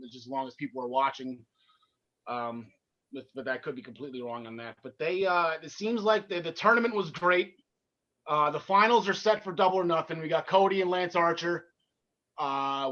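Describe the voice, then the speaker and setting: A man with a North Jersey accent rattles through his thoughts on the show at speed, tripping over his words and restarting. His voice sits high and sounds bright and edgy. The recording is muffled and lo-fi. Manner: fast-talking, excitable, stumbling restarts. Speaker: podcast co-host. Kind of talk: casual wrestling chat.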